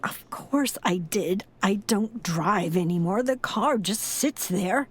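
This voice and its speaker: An actress playing a sorrowful old grandmother with a halting, sighing delivery in an audio drama. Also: medium pitch, expressive, breathy edge. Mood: weary, hesitant. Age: older. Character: frail, grieving, tough old grandmother and former foster mother.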